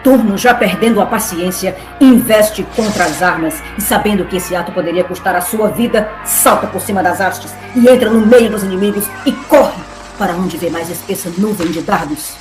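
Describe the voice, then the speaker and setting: A woman's voice: mid-range voice, normal speed, roomy sound. A woman with a Brazilian accent giving dramatic scripted narration of an epic tale. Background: music.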